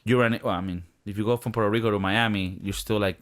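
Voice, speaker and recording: A man's voice: fairly low pitch, fast talker. A man hosting a casual podcast, joking and swearing freely as he tells stories. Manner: profane, joking, animated storytelling. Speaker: podcast host.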